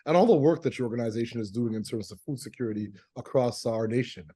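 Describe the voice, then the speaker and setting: Gracious male host, mellow voice. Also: mid-range voice, quick pace, breathy edge. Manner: warm, ceremonial and gracious. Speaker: interview host. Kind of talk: formal interview hosting.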